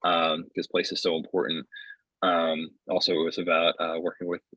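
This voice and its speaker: Low-pitched husky man, halting delivery. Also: flat delivery, sharp tone, no echo. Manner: halting, conversational, often checks with "right?". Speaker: software developer presenting his tool. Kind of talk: casual webinar demo. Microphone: earbuds on a video call. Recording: dull video-call audio.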